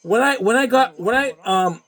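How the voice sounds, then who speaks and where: A man's voice: extremely high pitch, normal speed, fairly bright tone. A young man from Canada, talking in a rambling, profane monologue and repeating himself often. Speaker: vlogger. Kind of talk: casual monologue.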